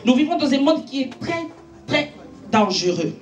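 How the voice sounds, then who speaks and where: A woman's voice: high voice, very animated, normal speed, a little mellow, far from the mic, dull audio, soft background music. A woman preaching a sermon to her church, an Italian native speaker, earnest and exhorting, lifting her voice when she preaches. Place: church gathering in a makeshift space.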